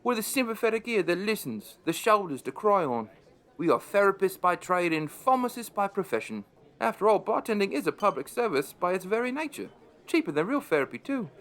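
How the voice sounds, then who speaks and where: A man's voice: high voice, sing-song, fairly quick, fairly bright tone, full character voice. An actor playing a wry, chatty pub landlord, narrating his story in a conversational way for an audio drama.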